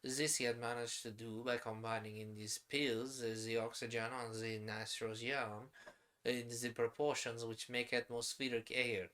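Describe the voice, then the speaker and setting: A man's voice: medium pitch, little pitch movement, pushed and bright, close to an everyday voice. A man putting on a pompous French professor. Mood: explaining. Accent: put-on French accent. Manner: slow, halting, formal broken phrasing. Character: pompous old male French chemist professor.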